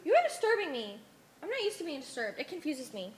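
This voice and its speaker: Naive, obedient otherworldly follower played by a woman; very high, animated, formally puzzled, in a reverberant stage play. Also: quick pace. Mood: confused, earnest. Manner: formal, uncontracted, puzzled phrasing. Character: naive, obedient member of a blue cult people, easily confused. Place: theatre stage. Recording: echoey stage hall recording.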